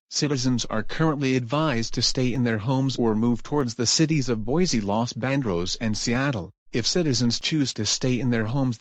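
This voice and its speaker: A man playing a composed emergency news announcer, reading a scripted bulletin in a formal, measured way. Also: mid-range voice, no room sound, lightly acted.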